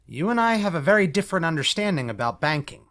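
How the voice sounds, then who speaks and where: A man's voice: fairly high pitch, big pitch swings, fast, a bit bright. Man playing earnest, principled community banker. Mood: firm. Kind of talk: musical table-read dialogue.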